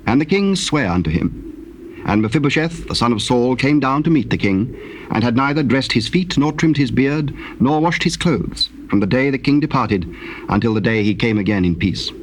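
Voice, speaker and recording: A man's voice: mid-range voice, animated, moderate pace. Solemn male scripture narrator, faint tape noise. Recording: noisy old cassette tape.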